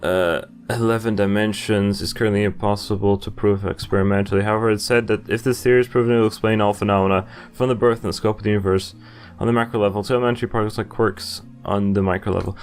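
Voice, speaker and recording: Low-pitched young man doing game commentary. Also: fairly even intonation, fast, soft background music. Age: young adult. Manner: easily amused, chuckles often. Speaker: gamer recording a playthrough. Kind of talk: casual game commentary, reading on-screen text aloud. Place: indoors at a desk, with game music behind.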